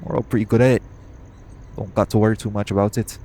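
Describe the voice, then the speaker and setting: A man's voice: on the low side, steady tone, fast talker, a little mellow. A man playing a cocky, teasing, foul-mouthed hitman in an audio roleplay. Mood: reassuring. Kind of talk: audio roleplay acting addressed to the listener.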